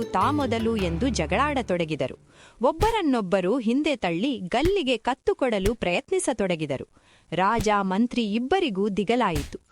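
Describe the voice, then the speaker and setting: A woman narrating a scripted children's folktale in an expressive storytelling manner. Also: a little high. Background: background music.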